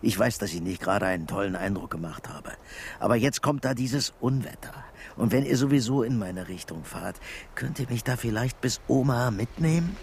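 A man acting a shady, ailing drifter in a crime radio play. He speaks at a middling pitch, by turns gruff and slickly ingratiating, over faint noise. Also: fairly quick, lightly acted. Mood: ingratiating, pleading. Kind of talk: German crime radio drama dialogue.

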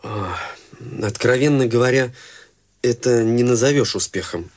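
An actor playing a deadpan, morbid young man in a radio play, answering flatly and matter-of-factly. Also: mid-range voice, a little slow, natural voice.

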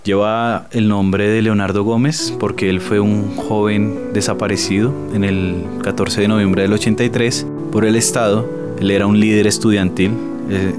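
Low, even-voiced Colombian man. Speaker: community theatre group member. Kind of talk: interview account of the group's history. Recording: loud guitar music behind.

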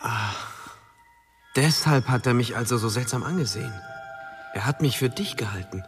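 An actor playing a warlord's grown son, questioning with wary restraint in a fantasy radio drama. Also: male voice, medium pitch, normal speed, close to an everyday voice. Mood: wary, reflective. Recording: music under the voice.

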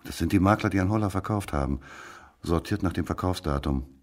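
An actor playing a gruff, curt police detective with a dry, brusque manner in a radio crime drama. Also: male voice, deep voice, brisk, a little mellow. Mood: matter-of-fact.

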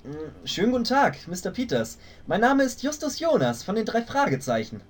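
A man's voice: sits high, very animated, moderate pace. A man playing a self-important, impatient boy detective in a tongue-in-cheek German fan audio drama. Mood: polite, formal. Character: boy detective leader, precocious, bossy, easily exasperated.

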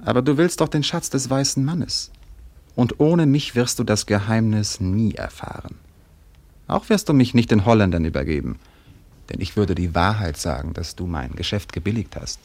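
Radio drama: headstrong Malay trader-lover, lowish voice, highly varied pitch, defiant. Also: male voice, lively intonation, moderate pace, a little mellow. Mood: coolly defiant. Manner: defiant, resolute. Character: bold, stubborn Malay trader, a determined lover. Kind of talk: German radio drama acting.

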